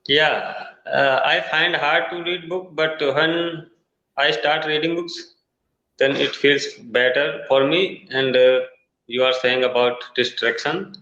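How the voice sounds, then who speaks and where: A man from India with an Indian English accent, a Hindi native speaker learning English, talking haltingly in an online tutoring conversation over a video call. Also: on the high side, sharp tone. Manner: halting, searching for words with many uh fillers. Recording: echoey, dull video-call audio.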